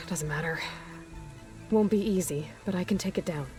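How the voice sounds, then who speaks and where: A woman's voice: on the low side, normal speed, darkish tone, faint music behind, lightly acted. Woman playing a wry, direct young heroine. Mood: wry, determined. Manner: dry, matter-of-fact. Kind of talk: naturalistic video game acting.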